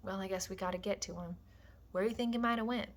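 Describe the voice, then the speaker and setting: A woman reading as a calm, quiet ranch leader. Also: fairly high pitch, pitched above the performer's own voice, lightly acted. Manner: quiet, measured.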